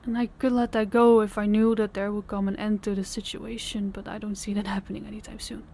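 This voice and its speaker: A young woman playing a worn-out, loving girlfriend who talks softly and hesitantly, often stumbling over her words. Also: mid-range voice, level tone, brisk, slight background noise, natural voice. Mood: weary tender. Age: young adult. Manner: soft, hesitant, stammering restarts. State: exhausted. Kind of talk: audio roleplay acting.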